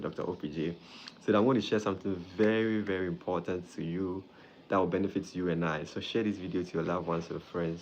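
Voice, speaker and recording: A man from Ghana, hosting a live stream as a doctor and warmly greeting viewers by name. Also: sits low, slight background noise. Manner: friendly, greets viewers by name, repeats phrases.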